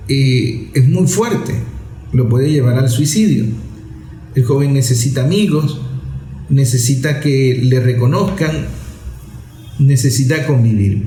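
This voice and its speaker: A man giving a reflective talk on society, measured and explanatory, repeating phrases for emphasis. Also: a little high, mellow, reverberant, poor audio. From Venezuela.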